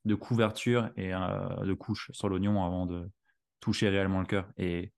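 Host from Alsace: man, very low voice, hesitant, filler-heavy. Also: little pitch movement, moderate pace, vocal fry, a little mellow. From Alsace, France. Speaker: podcast host. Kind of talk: conversational interview.

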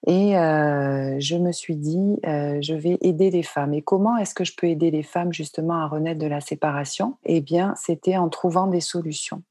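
Low, mellow woman in her fifties. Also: fairly even intonation, normal speed, few pauses, no echo, studio quality. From France. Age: 50s. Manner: earnest, repeats words and hesitates. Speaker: coach, guest expert. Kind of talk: interview answers.

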